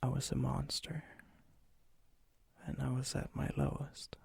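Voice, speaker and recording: A man playing a lonely, gentle, melancholy vampire in an ASMR roleplay, speaking softly and hesitantly, often near a whisper. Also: mid-range voice, level tone, creaky, slightly rough, no room sound, exaggerated voice. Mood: wistful, sorrowful. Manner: hesitant, wistful, halting. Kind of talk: ASMR roleplay, intimate acted monologue.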